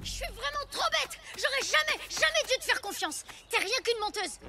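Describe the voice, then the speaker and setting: An earnest young cave boy in an animated series, with a very high, expressive voice. He is impulsive, loyal and outspoken, and music plays beneath his lines. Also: normal speed, creaky, exaggerated voice. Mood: angry. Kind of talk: French animated series voice acting. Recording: music under the dialogue.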